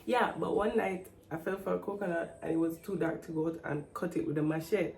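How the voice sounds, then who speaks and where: A woman's voice: fairly low pitch, brisk, vocal fry, darkish tone, slight background noise. A woman in her thirties vlogging casually, sounding stuffy from the flu and laughing at herself as she chats. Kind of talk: casual travel vlog.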